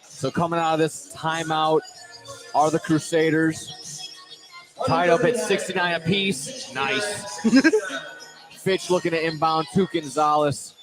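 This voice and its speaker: A man doing live play-by-play commentary of a high school basketball game from inside a gym. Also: fairly even intonation, takes it slowly, long pauses, music in the background, poor audio. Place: indoor gymnasium commentary position.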